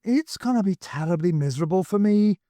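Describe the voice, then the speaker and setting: A man voicing a sorrowful, pleading Irish space traveller with a high, strained voice and an Irish accent. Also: sing-song, moderate pace, a little mellow, no echo.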